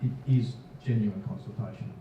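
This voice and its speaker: A man with a lowish voice answers questions at a public meeting, halting and restarting his sentences. He is heard from some distance in a strongly echoing hall, and the sound is dull with a faint hiss. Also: steady tone. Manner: hesitant, halting, many fillers. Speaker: council official answering questions. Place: civic centre meeting hall.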